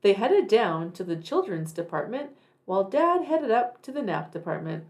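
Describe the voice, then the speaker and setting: A woman reading a picture book aloud to young children, deliberate and expressive in her narration. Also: mid-range voice. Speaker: children's read-aloud storyteller.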